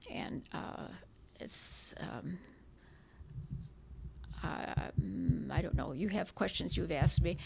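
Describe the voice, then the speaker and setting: An older woman whose first language was Czech, recalling her past in an interview in a halting, reminiscing way with many restarts. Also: fairly low pitch, gravelly, a little mellow, a little hiss or hum. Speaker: interviewee, hiking club member. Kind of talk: oral history interview.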